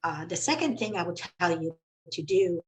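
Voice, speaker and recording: Earnest Latin American woman, slightly low voice. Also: lively intonation, moderate pace, slight background noise. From Colombia. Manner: earnest, emphatic, with dramatic swings in pitch and loudness. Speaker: panelist, company CEO. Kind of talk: panel discussion talk.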